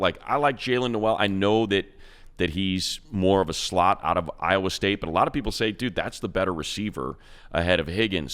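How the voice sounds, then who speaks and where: A man's voice: deep voice, a bit bright, clear, resonant tone. A man co-hosting a football show, talking draft picks in a rambling, emphatic way. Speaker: radio play-by-play announcer and co-host. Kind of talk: animated football talk.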